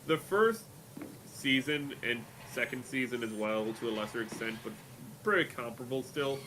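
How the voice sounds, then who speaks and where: A man's voice: medium pitch, lively intonation, normal speed, noisy background. A man streaming a game, talking casually and animatedly, with a rambling flow full of fillers. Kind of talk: casual chat while gaming.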